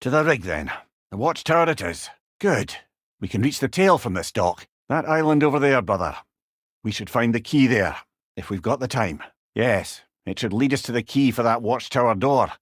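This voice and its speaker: A witty, learned older Scottish man, played by an actor as a talking severed head in a video game. His delivery is animated and wry, with eloquent old-fashioned turns of phrase, recorded very dry and close. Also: medium pitch, moderate pace, no room sound, close to an everyday voice. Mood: wry, advising. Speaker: companion character in a video game. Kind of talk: video game character dialogue.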